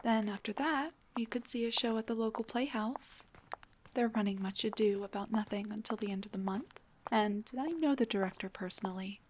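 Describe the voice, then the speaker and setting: A woman playing a shy, sweet, flirty dullahan on a date, soft, breathy and hesitant, in an intimate audio roleplay. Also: fairly high pitch, fairly quick, slight background noise, natural voice. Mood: shy, hopeful.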